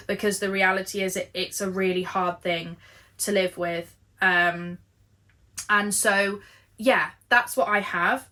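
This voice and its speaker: A British woman giving a candid, chatty life update with a croaky voice and lots of filler words. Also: medium pitch, bright, forceful tone. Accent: British English. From United Kingdom. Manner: candid, earnest, with frequent fillers. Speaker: vlogger. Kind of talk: chatty, honest life update while knitting.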